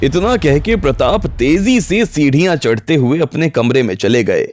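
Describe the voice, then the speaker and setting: A man's voice: fairly high pitch, lively intonation, moderate pace, a little mellow. A man narrating a scripted audio story in the role of storyteller. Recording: background music under the voice.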